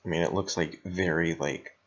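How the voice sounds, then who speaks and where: A young man co-hosting a podcast in blunt, rambling, sweary casual chat, with a slightly raspy voice. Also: low-pitched, fairly even intonation, normal speed, a bit bright, lo-fi sound. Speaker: podcast co-host.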